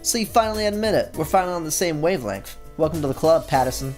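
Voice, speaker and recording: A cocky, rowdy teenage schoolgirl who teases her friends and brags about spicy food, voiced by a man reading a visual novel. Her voice sits higher than a plain male voice, brash and loud. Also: animated, fast, soft background music, close to an everyday voice. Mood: teasing.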